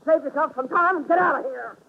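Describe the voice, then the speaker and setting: A woman's voice: sits high, fast. A woman playing a crabby, tough old American hotel clerk in a 1940s radio drama, curt and dismissive. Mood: irritated, dismissive. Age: older. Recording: noisy, phone-like 1940s radio recording.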